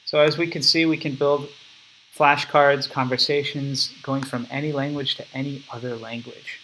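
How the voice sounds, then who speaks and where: Young man, bright crisp voice, casual product walkthrough narration for a tutorial. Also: medium pitch, flat delivery, moderate pace, a little hiss or hum, rough recording. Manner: casual, step-by-step explaining. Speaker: app developer demonstrating his product. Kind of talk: screen-recorded software walkthrough.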